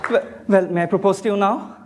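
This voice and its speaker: A woman playing an earnest, nervous young gentleman in love in a stage comedy, hesitant and pleading. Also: medium pitch, steady tone, quick pace, a crowd in the background, natural voice. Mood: eager, flustered. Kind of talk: theatrical stage comedy of manners. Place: indoor stage performance.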